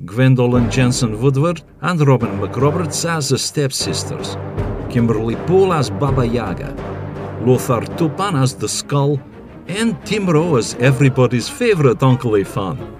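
A man's voice: medium pitch, big pitch swings, moderate pace. Male storyteller narrating over music.

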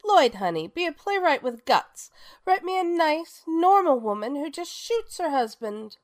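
An actress playing a famous, sharp-tongued theater star in a radio drama, with a grand, theatrical, sardonic manner. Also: female voice, high voice, very animated, unhurried, dry recording, studio quality. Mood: caustic, wisecracking.

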